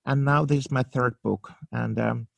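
A man's voice: medium pitch, steady tone, moderate pace, gravelly, a bit bright, no room sound. A man in his fifties from Finland with a Finnish accent, speaking English as a second language in a casual interview, searching for words with many fillers and restarts. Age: 50s. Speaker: makeup artist guest.

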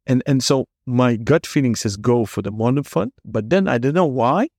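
A man with a Dutch accent hosts a relaxed podcast conversation, curious and eager as he puts questions to his guest. His voice sits at an ordinary middle pitch. The recording is close and dry, with almost no room sound. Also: normal speed, no echo. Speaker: podcast host. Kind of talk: casual interview chat.

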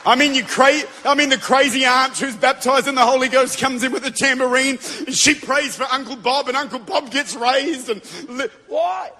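A man preaching a Pentecostal sermon to a congregation in a large church, fervent and building to shouted declarations. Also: very high voice, moderate pace, hardly any pauses, slight background noise. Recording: long reverb of a big hall.

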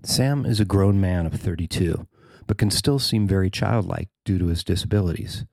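A man's voice: low voice, moderate pace, creaky, darkish tone, no room sound, clean studio sound. A man narrating a scripted news feature as its writer and reader.

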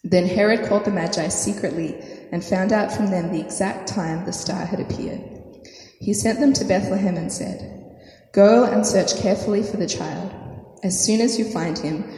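A woman preacher with a croaky, hoarse voice from a sore throat, praying softly with many fillers, then reading scripture and preaching. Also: mid-range voice, moderate pace. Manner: soft, hesitant prayer with many fillers. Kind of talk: sermon with prayer and scripture reading. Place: church hall. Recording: echoey hall, faint noise.

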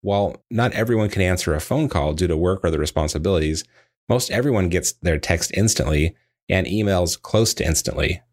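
A man narrating a scripted training lesson in a measured, explanatory way, as if reading from prepared text. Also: low-pitched, a little mellow. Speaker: dental practice trainer.

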